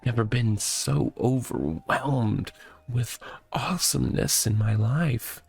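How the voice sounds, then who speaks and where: A man voicing a rambling, oddly proud corporate mascot stuck in a cardboard box, halting and earnest, in a comedic visual novel read-aloud. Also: mid-range voice, gravelly, a little mellow, breathy edge, exaggerated voice. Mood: wistful, awed. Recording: music behind the voice.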